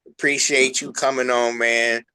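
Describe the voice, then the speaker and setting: Bright, forceful male host. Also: medium pitch, little pitch movement, a little slow, clear, resonant tone, no room sound. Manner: colloquial, familiar. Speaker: show host. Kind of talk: casual interview chat.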